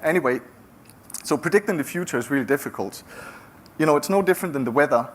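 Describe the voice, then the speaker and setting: A man's voice: a little high, lively intonation. A middle-aged man from Denmark with a Danish accent, giving a conversational founder's talk with frequent restarts. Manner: conversational, halting with many restarts. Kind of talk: conference talk.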